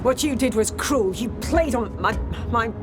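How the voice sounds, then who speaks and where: High-voiced, hurt, angry woman adventurer. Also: animated, unhurried. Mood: hurt, reproachful. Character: hurt, angry, proud female time traveller and would-be discoverer. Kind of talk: audio drama acting. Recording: drama clip with loud music behind.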